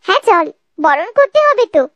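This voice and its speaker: A woman's voice: very high voice, sing-song, normal speed, no room sound, highs cut off, full character voice. A woman voicing a dutiful, earnest young woman of the household in a cartoon family drama. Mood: eager, caring. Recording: loud music behind the voice. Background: music.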